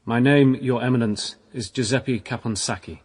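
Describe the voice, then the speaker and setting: Husky, impassioned young priest played by a man. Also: medium pitch, steady tone, a little mellow, natural voice. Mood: defiant, bitter. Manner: declamatory, intense, halting for emphasis. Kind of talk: period radio drama acting. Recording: long reverb of a hall.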